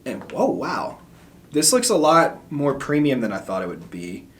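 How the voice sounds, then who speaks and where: A man's voice: medium pitch, sing-song, measured pace, a bit bright, slight background noise. A young man, a musician, casually reviewing a microphone in an upbeat, chatty way and explaining the tech terms plainly. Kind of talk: casual product review vlog. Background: background music.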